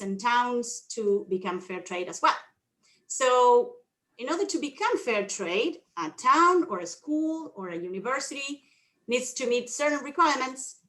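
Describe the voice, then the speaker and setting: A woman's voice: mid-range voice, sharp tone. A woman from Argentina with an Argentine Spanish accent, giving a welcoming introduction as host of a community event, earnest and a little nervous.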